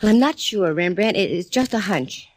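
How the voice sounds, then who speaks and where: An actress playing a wisecracking private detective who also narrates, in a brisk 1940s radio drama. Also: female voice, medium pitch, expressive, no room sound, natural voice.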